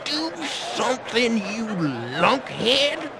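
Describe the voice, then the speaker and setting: Greedy game villain, Southern drawl, slow, animated, pompous bluster. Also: male voice, very high-pitched, over a noisy crowd, heavy background noise, heavily acted. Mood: outraged.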